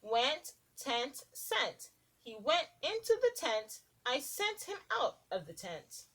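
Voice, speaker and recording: Very slow, animated woman reading to children. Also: high voice. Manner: sing-song, deliberate, expressive enunciation of simple rhymes. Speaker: preschool teacher reading to children. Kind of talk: children's picture-book read-aloud.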